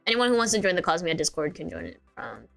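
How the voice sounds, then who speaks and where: A woman's voice: fairly low pitch, sing-song, quick pace, creaky, fairly bright tone, soft background music. A young American woman streaming, chatting casually and sleepily, rambling with many fillers and restarts. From United States.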